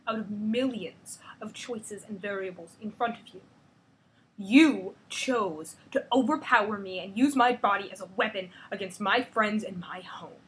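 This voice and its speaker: A woman voicing a wronged superheroine who speaks quietly and intensely, sometimes in a whisper. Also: medium pitch, normal speed, hardly any pauses, natural voice, rough recording. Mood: bitter.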